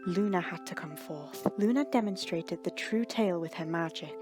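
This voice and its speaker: A woman's voice: fairly low pitch, normal speed. A woman reading a dramatic scripted fantasy story as the narrator. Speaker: fan fiction narrator. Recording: music under the voice. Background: background music.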